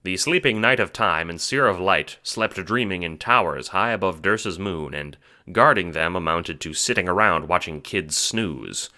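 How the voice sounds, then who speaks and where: A man's voice: low-pitched, lively intonation, moderate pace, few pauses, bright, forceful tone. A man reading scripted, second-person dramatic narration for an audio drama.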